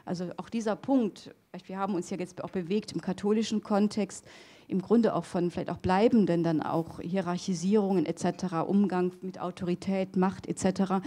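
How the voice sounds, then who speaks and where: A middle-aged woman giving an academic lecture in a hall on a handheld microphone, pausing often with fillers and restarts. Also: fairly low pitch, fairly even intonation, warm tone. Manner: hesitant, many fillers and restarts. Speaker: theology lecturer.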